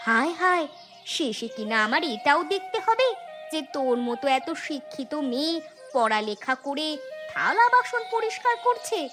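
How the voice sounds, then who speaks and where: A woman's voice: high-pitched, sing-song, normal speed, over music. A woman voicing a nosy village neighbour in a cartoon fairy tale, with a sarcastic, scolding way of talking. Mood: mocking.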